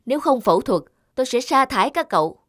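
A man's voice: extremely high pitch, very animated, quick pace, a bit bright, full character voice. A man voicing a flustered, stern but helpless male manager creature in a cartoon. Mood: stern, exasperated. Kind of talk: cartoon dialogue.

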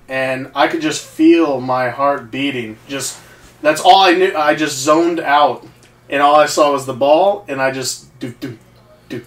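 A man chatting casually with friends in a small home den, telling stories with lots of fillers. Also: fairly high pitch. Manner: rambling storyteller, many fillers. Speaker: co-host. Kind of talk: casual chat. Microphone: far camera mic. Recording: echoey room from far off.

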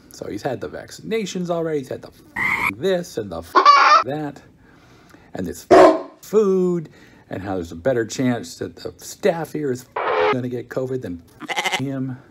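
A man's voice: medium pitch, sing-song, moderate pace, heavy background noise. A middle-aged man from the United States, recording a wry, chatty video diary on a phone held at arm's length in a hotel room. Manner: chatty, wry, self-mocking storyteller. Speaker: vlogger. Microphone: phone camera at arm's length.

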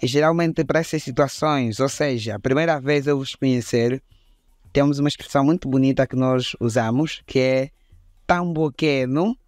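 A man's voice: mid-range voice, moderate pace, a little mellow, dry recording, clean studio sound, soft background music. A young man with an Angolan Portuguese accent giving a prepared greeting in a formal, courteous manner. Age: young adult. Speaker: guest contributor.

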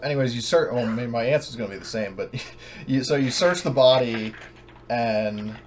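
A man narrating and refereeing a tabletop game as game master, halting and often correcting himself, over an online voice chat. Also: lowish voice, darkish tone. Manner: halting, hedging, self-correcting. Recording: noisy online voice chat recording.

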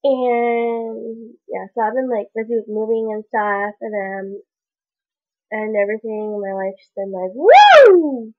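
Young woman vlogger, stuffy congested voice, rambling chat, fairly high pitch, lo-fi webcam sound. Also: very animated, takes it slowly. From Canada. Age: young adult. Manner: chatty, rambling, many "like" fillers. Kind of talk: casual chat to camera. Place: small bedroom indoors. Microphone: webcam at desk distance. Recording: dull lo-fi webcam audio.

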